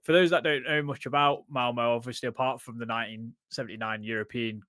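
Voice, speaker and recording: A man's voice: mid-range voice, steady tone, quick pace, bright, forceful tone, no room sound, clean studio sound. A man from England hosting a football podcast in a chatty way, with plenty of fillers and restarts. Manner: chatty, fillers and repeated words. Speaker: podcast host. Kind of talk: casual podcast chat.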